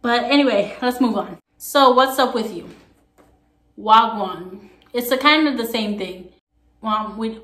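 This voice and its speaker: A woman's voice: on the high side, bright, forceful tone. Sassy young Jamaican woman in an echoey room. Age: young adult. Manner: chatty, sassy asides. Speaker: vlogger. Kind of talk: casual chat to camera. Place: small room at home, on a couch.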